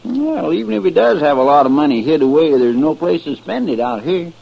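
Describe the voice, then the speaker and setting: An actor playing a young, plaintive cowboy sidekick with a rural western American drawl in an old-time radio drama. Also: male voice, fairly high pitch, animated, brisk, vocal fry. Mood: musing, earnest. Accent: rural American West. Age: young adult. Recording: muffled, noisy old radio recording.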